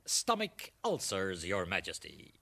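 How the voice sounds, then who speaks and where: A bright, swooping flattering diplomat. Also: male voice, low-pitched, unhurried, creaky, heavily acted. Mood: sheepish. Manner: florid, fawning, ceremonious. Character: flattering, oily male foreign ambassador. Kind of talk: satirical radio drama acting.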